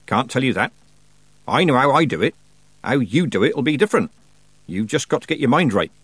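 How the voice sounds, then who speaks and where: A man's voice: mid-range voice, sing-song, fairly quick, a bit bright, slightly rough, dry recording, voice pushed up. A man voicing a stern, prickly old country witch in an audiobook, with a growling voice and brusque, folksy turns of phrase. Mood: snappish. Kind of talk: audiobook character voice.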